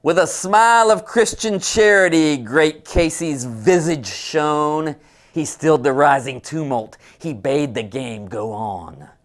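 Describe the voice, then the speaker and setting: A man giving a dramatic poetry reading in a theatrical, deliberate way, swinging between hushed tones and high, loud exclamations. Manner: drawn-out, deliberate emphasis. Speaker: sports columnist reciting a poem.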